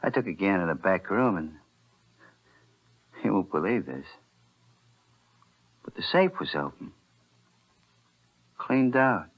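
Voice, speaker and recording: A menacing, hard-bitten hoodlum in a 1940s radio thriller, snarling gangland slang in a deep voice. The recording is muffled old radio. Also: male voice, expressive, slow pace, pauses often, breathy edge, exaggerated voice. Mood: grim, sly. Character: threatening, double-crossed gangster hoodlum with a gun. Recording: muffled vintage radio broadcast.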